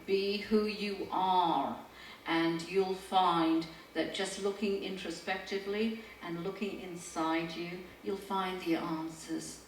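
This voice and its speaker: Low-voiced woman, spiritual teacher, frank and earnest, echoey room. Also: steady tone, takes it slowly. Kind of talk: panel discussion. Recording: echoey room, distant mic, faint noise.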